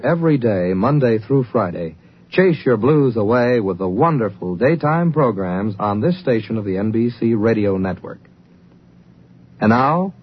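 1950s radio promo announcer, male, American, scripted read, medium pitch, lo-fi airing with slight hiss. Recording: muffled old radio recording, faint noise.

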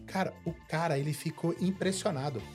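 A man from Brazil co-hosting a casual podcast chat, telling lively stories and acting out the people in them. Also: on the high side, a little fast, soft background music. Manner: animated storyteller, acts out quoted voices. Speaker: podcast co-host.

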